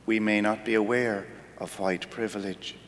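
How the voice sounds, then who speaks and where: A man with an Irish accent, a priest giving a weekday homily in a slow, reflective, measured way. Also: level tone. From Ireland. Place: church. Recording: church hall reverb with faint rumble.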